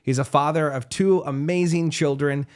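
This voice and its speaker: A man hosting an interview podcast, upbeat and quick to laugh. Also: fairly high pitch, steady tone, clean tone. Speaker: podcast host.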